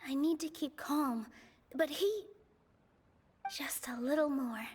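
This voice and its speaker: A woman playing an earnest, gentle young female dancer in a video game, speaking with sincere care. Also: high voice, slow. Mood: heartfelt, earnest. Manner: sincere, earnest, careful phrasing.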